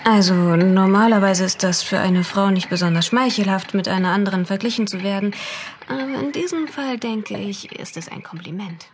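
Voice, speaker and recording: A woman's voice: medium pitch, moderate pace, slight background noise, close to an everyday voice. An actress playing a caring, loyal young woman in an audio drama, with a reassuring manner. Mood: soothing. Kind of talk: German audio drama acting.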